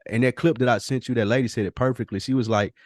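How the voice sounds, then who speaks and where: A man's voice: medium pitch, steady tone, fast talker, darkish tone, dry recording, clean studio sound. A man chatting casually as a podcast host, blunt and questioning, with profane slang. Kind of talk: casual conversational podcast chat.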